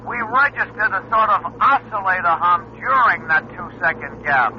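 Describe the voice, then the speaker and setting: Mission controller, radio drama. High, lively voice, folksy, dull noisy recording. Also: male voice, moderate pace. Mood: businesslike. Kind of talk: old-time radio drama acting.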